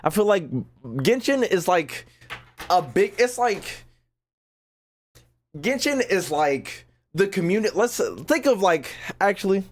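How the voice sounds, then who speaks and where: A man's voice: sits high, big pitch swings, unhurried, pauses often. Slangy male game streamer, repetitive and profane. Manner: stumbles often when reading aloud; slangy, profane, repeats phrases emphatically. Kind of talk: casual livestream commentary, reading game text aloud and chatting.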